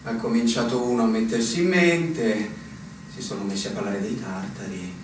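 An actor playing a resigned, wistful older army captain in a radio drama, with theatrical swells. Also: male voice, fairly low pitch, moderate pace, heavy reverb, distant mic, slight background noise, close to an everyday voice, rough recording.

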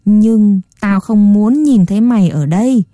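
A woman's voice: medium pitch, measured pace, dark tone, no echo, clean studio sound, lightly acted. A woman voicing a grumpy, scornful old dollmaker who scolds and shoos a child away. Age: older. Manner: gruff, scolding, dismissive.

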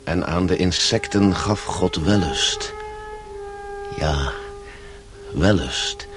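Tormented passionate Russian, very low voice, slow brooding rhetoric, radio play, music behind. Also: male voice. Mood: brooding, intense. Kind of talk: radio drama monologue.

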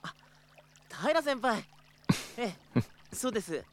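A man's voice: extremely high pitch, very animated, slow, heavily acted. Young male tour guide, polite, hesitant, easily flustered, audio drama. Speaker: tour company employee.

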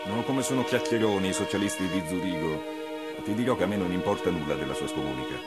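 An actor playing a proud, teasing revolutionary intellectual and lover in a radio drama, wry and self-assured. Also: male voice, low voice, fast, loud music behind the voice, natural voice. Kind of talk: theatrical radio drama dialogue.

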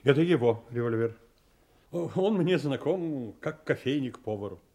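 A weary, honest male smuggler in a radio drama. His voice is of ordinary pitch. He talks bluntly and haltingly, with resignation. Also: lively intonation, a little mellow. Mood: dry. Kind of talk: radio play acting.

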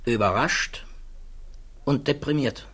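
Husky, troubled physicist under questioning. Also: male voice, mid-range voice, a bit bright. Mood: grave, unsettled. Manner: measured, precise, guarded answers. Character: embattled male physicist testifying at a security hearing, thoughtful, troubled. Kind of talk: 1960s German radio play acting.

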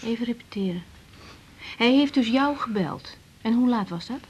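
A woman's voice: fairly high pitch, expressive, darkish tone, lo-fi sound, a little hiss or hum, natural voice. An actress playing a guarded, defiant young woman doctor in a radio drama. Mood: wary, pensive. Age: young adult. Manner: evasive, curt, quick to retort. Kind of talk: 1980s Dutch radio drama acting.

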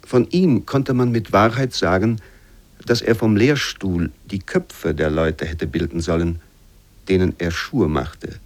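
A male narrator delivers scripted literary prose at a mid-range pitch. His reading is formal and measured, with expressive emphasis suited to a radio feature.

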